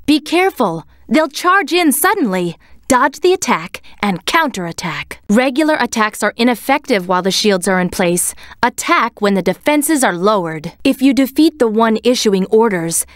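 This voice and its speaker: Young female game cat, serious, measured, commanding, higher-pitched, dry close recording. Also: no echo. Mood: urgent, alert. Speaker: video game character. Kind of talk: scripted game voice acting, tactical hints.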